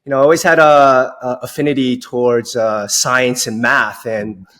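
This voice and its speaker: A man's voice: mid-range voice, steady tone, moderate pace, a bit bright. Casual middle-aged man telling his story. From Long Island, New York. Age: 40s. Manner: informal, says "you know" very often. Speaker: interview guest, dentist.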